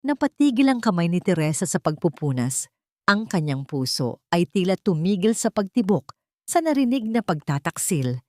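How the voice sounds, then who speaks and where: A woman audiobook narrator reading a scripted dramatic story with solemn, deliberate phrasing. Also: lowish voice, big pitch swings, no room sound.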